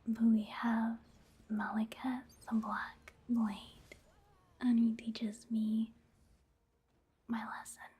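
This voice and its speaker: A woman giving soft-spoken, whispered ASMR commentary over video game play, in a gentle, casual and unhurried manner. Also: on the high side, little pitch movement, slightly rough.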